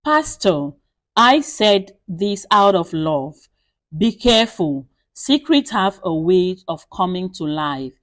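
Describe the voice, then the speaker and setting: A woman voicing an earnest, concerned male church elder in a story, with a measured, careful, urging manner. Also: lowish voice, very animated, no room sound. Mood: cautioning.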